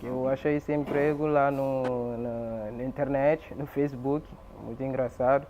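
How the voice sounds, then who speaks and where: A man who arrived as an immigrant, a native French speaker, telling his story haltingly in an interview. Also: mid-range voice, fairly even intonation, highs cut off, a crowd in the background, noisy background. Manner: halting, searching for words in a learned language. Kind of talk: interview testimony.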